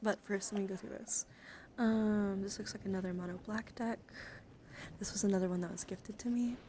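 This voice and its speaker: A woman with a medium-pitched voice hosts a casual ASMR live stream. She speaks softly and often drops into a whisper, rambling informally to her chat with playful asides. Background noise and handling sounds are clearly audible behind her. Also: steady tone, moderate pace. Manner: soft-spoken, often slipping into whispers. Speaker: streamer, host of a live card-collection stream.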